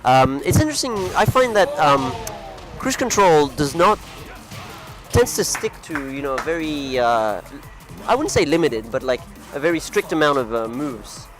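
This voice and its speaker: A man with a somewhat high voice commentates a tournament match in a loose, chatty way, rambling and poking fun at his own wording. English is not his first language. Music plays clearly behind him. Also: sing-song, normal speed. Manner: chatty, rambling, laughs at himself. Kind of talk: casual fighting game commentary. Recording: music playing loudly behind.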